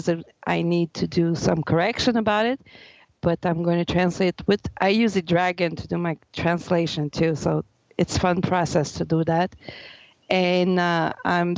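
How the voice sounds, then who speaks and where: A woman's voice: fairly low pitch, gravelly, darkish tone, no echo. A French-speaking woman from Quebec with a Québécois accent, talking as a guest author and hesitating as she searches for English words. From Quebec, Canada. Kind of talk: casual interview talk. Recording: dull lo-fi line.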